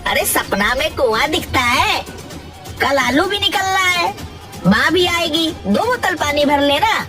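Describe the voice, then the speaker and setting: A woman voicing a gruff, curt farmer father in a village cartoon. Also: sits high, lively intonation, unhurried. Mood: irritated scolding. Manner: curt, scolding. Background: loud music.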